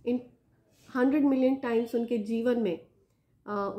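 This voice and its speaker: A woman with an Indian accent and a slightly hoarse throat, hosting a spiritual reading and speaking directly to her viewers with gentle blessings. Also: on the high side. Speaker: spiritual reader and channel host. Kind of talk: spiritual reading addressed to viewers, Hindi mixed with English.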